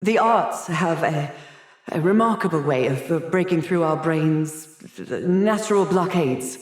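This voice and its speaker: A man's voice: a little high, unhurried, reverberant, lightly acted. A man playing a haughty, haunted asylum doctor in a video game, theatrical and erudite, fretful and hesitant, obsessed with his art. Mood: weary, distracted. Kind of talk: dramatic video game dialogue.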